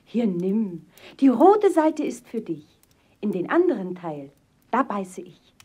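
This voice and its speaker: A woman playing a wicked, scheming queen disguised as a peasant woman in a fairy-tale audio play, coaxing and wheedling in a husky voice. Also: medium pitch, big pitch swings, takes it slowly, warm tone, exaggerated voice.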